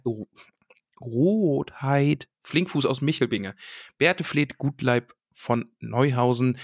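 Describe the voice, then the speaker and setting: A man's voice: medium pitch, no room sound, studio quality. A man co-hosting a podcast in casual chat, playful and jokey, reading a list of names and wandering off topic. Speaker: podcast co-host.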